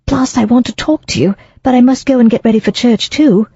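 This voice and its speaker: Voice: mid-range voice, a little fast, warm tone, husky, dry recording, pitched above the performer's own voice. Daisy, a chatty young lady voiced by a reader. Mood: hopeful, chatty. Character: lively young society woman, Daisy. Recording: dull lo-fi, dry.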